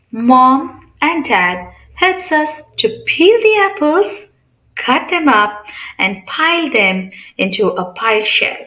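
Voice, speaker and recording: A woman's voice: fairly high pitch, pushed and bright, echoey room, poor audio. A woman reading a picture book aloud to children in a teacherly way, asking questions and explaining simply. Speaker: read-aloud channel host.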